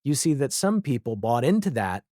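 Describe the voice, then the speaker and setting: A man's voice: medium pitch, big pitch swings, normal speed, warm tone, dry recording, clean studio sound. A man speaking casually and reflectively as a guest in a conversational podcast interview.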